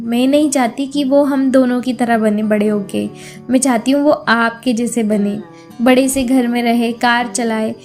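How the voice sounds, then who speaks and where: Woman playing a humble, high-voiced housemaid mother. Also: darkish tone, close to an everyday voice. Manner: reassuring, earnest. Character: humble, contented poor housemaid and loving mother. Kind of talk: moral story dialogue.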